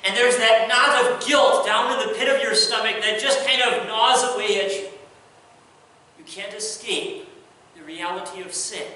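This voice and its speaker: Earnest pastor in an echoey church. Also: male voice, high voice, normal speed, far from the mic. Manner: earnest, swings from soft hush to raised emphasis. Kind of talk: sermon. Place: large church sanctuary, at the pulpit. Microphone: distant pulpit mic.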